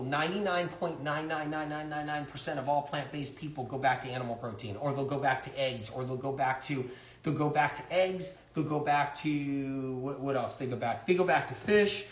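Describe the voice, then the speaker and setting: Emphatic high-voiced man, livestreaming. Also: roomy sound. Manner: emphatic, repetitive, rhetorical questions. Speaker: health author hosting a livestream. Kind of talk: livestream monologue to viewers.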